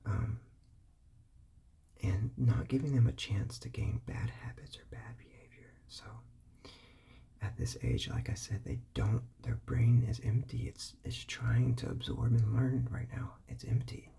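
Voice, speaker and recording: Whispering gravelly man giving advice. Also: mid-range voice, unhurried. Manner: calm, patient, repeats his points. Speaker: parent giving parenting advice.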